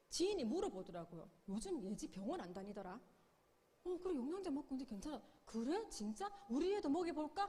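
A woman's voice: high voice, darkish tone, long reverb tail. A middle-aged woman giving a sales seminar lecture, upbeat, explaining with questions and acted-out quotes. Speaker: business seminar speaker.

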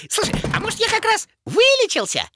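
A woman's voice: extremely high pitch, wildly swooping pitch, normal speed, noisy background, exaggerated voice. A woman voicing a carefree, restless young boy rabbit in an educational cartoon, with casual, slangy, exclamatory talk. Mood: playful, cheeky. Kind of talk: animated educational cartoon dialogue. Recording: music behind the voice.

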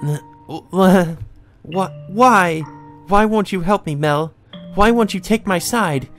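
A man's voice: high-pitched, slow pace, fairly bright tone, faint music behind, voice pushed up, heavily acted. A man voicing a distraught, jealous young noblewoman from a visual novel, with a stammering, exclamatory delivery. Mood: tearful pleading. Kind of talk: visual novel character read aloud by a player.

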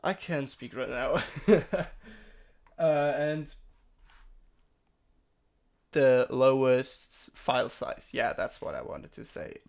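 A young man with a slightly sick, gravelly voice rambles through a screen tutorial at an unhurried pace. The tone sits a little high yet stays smooth between the rough patches. He fills gaps with "uh" and fixes his own words as he goes. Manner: rambling, many fillers and self-corrections. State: sick, throat-clearing voice. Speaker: tutorial streamer. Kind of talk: casual screen-recorded software tutorial. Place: home room.

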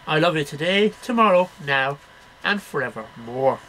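A man's voice: on the high side, animated, normal speed, sharp tone, a little hiss or hum, low-quality recording. A middle-aged man reading poems aloud in a declamatory, sing-song way, one line at a time. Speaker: amateur poetry reader. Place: small cluttered bedroom. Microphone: webcam at about arm's length.

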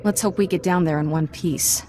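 A woman's voice: on the low side, normal speed, background noise, natural voice. An actress playing a plucky, wry young female Jedi apprentice in a video game, with a casual delivery. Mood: wry, determined. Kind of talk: video game cutscene acting.

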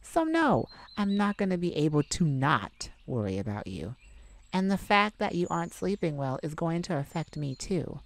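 A woman voicing a sweet, caring boyfriend in an intimate ASMR roleplay, tender, gently teasing and coaxing. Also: sits low, big pitch swings, normal speed. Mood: gently concerned. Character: sweet, caring young boyfriend comforting his partner.

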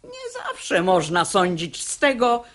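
Stubborn preachy old mum in a radio play, pitch swooping all over, raspy. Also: female voice, wildly swooping pitch, slow, full character voice. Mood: stubborn preaching. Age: older. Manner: sententious, theatrical. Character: stubborn, sanctimonious elderly mother, credulous and preachy. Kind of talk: theatrical radio drama acting in verse.

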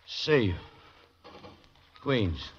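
A man's voice: on the low side, very animated, very slow, full character voice. An actor playing a wealthy, proud and touchy businessman castaway in a classic radio drama. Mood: defensive, touchy. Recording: muffled, noisy old radio recording.

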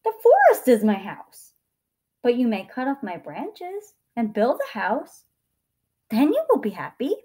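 A woman's voice: a little high, huge pitch swings, measured pace, dark tone, husky, full character voice. A woman voicing a loving, generous female tree in a children's story, coaxing and eager to please. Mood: gentle, offering. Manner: coaxing, giving.

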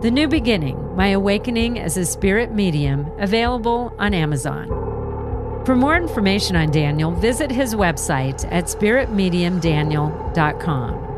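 A woman podcast host wrapping up an interview and reading a smooth closing outro. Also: medium pitch, animated, moderate pace, over music.

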